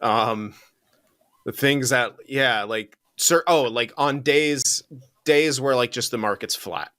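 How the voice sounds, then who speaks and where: A man's voice: mid-range voice, slow pace, fairly bright tone, no echo, clean studio sound. A young man co-hosting a podcast, chatting loosely, swearing freely and laughing often. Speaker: podcast co-host. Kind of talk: casual chat.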